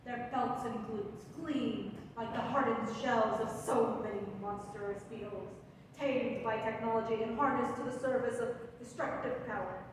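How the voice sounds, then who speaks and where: A woman's voice: on the high side, fairly even intonation, moderate pace, natural voice. A woman at a stage read playing an earnest, grandiose radio news correspondent with a florid, dramatic delivery. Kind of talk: parody of old radio news bulletin. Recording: echoey hall from far off, noisy.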